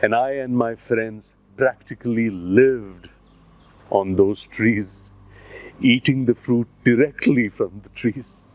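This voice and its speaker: A man with an Indian accent hosting a series for children, introducing it in an encouraging way with deliberate phrasing. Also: medium pitch. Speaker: series host. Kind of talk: scripted introduction addressed to children. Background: plucked guitar music.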